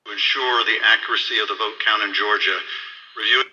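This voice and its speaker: Male radio newsreader, lowish pitch, very sharp forceful tone, band-limited sound from a receiver speaker. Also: fairly even intonation, fairly quick. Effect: heard through a radio speaker. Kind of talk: radio news report. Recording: dull lo-fi shortwave receiver playback.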